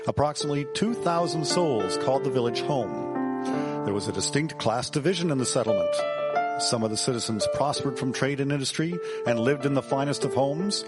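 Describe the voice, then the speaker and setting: Male history narrator, medium pitch, measured formal read, loud guitar music underneath. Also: animated.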